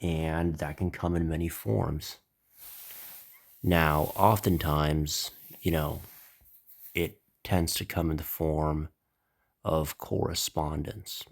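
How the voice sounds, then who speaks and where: Very deep, gravelly man with a stuffy nose. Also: steady tone, slow, pauses often. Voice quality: slightly nasal. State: stuffy, congested. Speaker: channel host. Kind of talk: spiritual explainer monologue.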